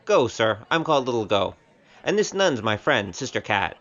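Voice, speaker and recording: A man's voice: mid-range voice, big pitch swings, normal speed, a bit bright, highs cut off, a little hiss or hum. A man playing a cheeky, easygoing young wandering gambler in an audio drama, with a wry, glib delivery. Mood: polite, firm. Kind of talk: scripted audio drama acting.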